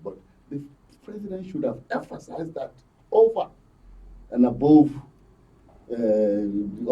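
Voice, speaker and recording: A slightly muffled recording, picked up away from the mic, carries a Nigerian man's voice. He comments on current affairs as a panel guest. His delivery is emphatic and halting, and he repeats words to make his point. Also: mid-range voice. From Benue, Nigeria. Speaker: studio guest, journalist commentator. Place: broadcast studio panel. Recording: distant mic, dull muffled audio.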